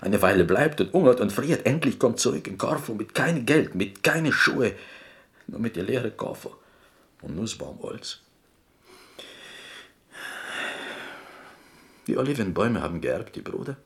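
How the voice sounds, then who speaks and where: An actor playing a proud, melancholy Greek man from Corfu in a radio drama, telling his tale in halting, broken German with a Greek accent. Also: on the low side, sing-song, gravelly, a bit bright, exaggerated voice. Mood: sorrowful.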